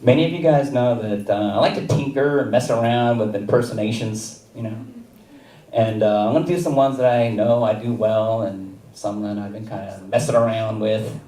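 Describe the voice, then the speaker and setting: A man performing a stand-up comedy set, with a wry, deadpan delivery and chuckles between jokes. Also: lowish voice, moderate pace, distant mic. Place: comedy theater stage. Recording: roomy live stage mic from afar.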